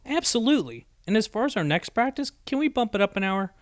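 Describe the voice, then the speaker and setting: A man's voice: high-pitched, sing-song, fast talker, dry recording, clean studio sound, voice pushed up. A man voicing a brash but easily sheepish young female pegasus pony in an audiobook, with a stammering, eager manner. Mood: eager, upbeat. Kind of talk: acted audiobook dialogue.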